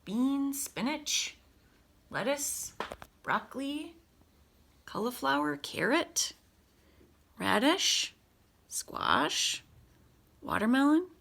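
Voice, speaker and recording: A woman teacher reading a picture book aloud to children, expressively, with casual, hushed explanatory asides. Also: high voice, drawn-out words, vocal fry, pauses often. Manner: animated storybook delivery, dropping to hushed asides.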